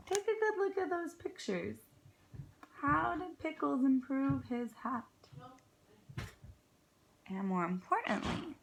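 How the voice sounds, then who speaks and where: A woman with a fairly high, slightly creaky voice reads aloud to small children. She takes it very slowly, with expressive pauses, and speaks encouragingly like a kindergarten teacher. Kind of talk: children's read-aloud with prompting questions.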